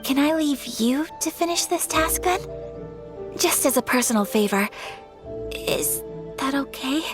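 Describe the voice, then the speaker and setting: A woman's voice: sits high, animated, measured pace. A woman voicing a gracious, polite young noblewoman who speaks formally. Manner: formal, courteous. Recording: game audio over music.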